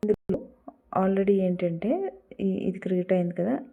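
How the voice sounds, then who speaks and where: A woman's voice: medium pitch, expressive, measured pace, breathy edge, narrow, tinny audio. A woman hosting a casual step-by-step tutorial, mixing in English words. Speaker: tutorial host.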